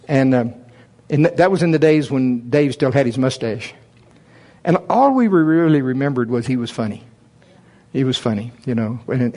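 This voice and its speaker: A middle-aged man giving a folksy, self-deprecating testimony as a preacher, telling personal stories in an animated way. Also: on the high side, breathy edge. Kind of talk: sermon, personal storytelling.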